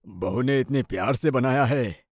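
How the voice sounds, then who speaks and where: A man's voice: mid-range voice, moderate pace, no room sound, clean studio sound, lightly acted. A man voicing an indulgent, soothing middle-aged father-in-law in a cartoon family story. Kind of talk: animated moral story dialogue.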